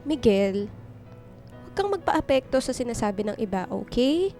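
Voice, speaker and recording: An actress playing an affectionate, reassuring young girlfriend in a radio drama, with a sweet, expressive delivery. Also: fairly high pitch, normal speed. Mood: reassuring, tender. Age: young adult. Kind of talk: Filipino radio drama dialogue. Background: background music.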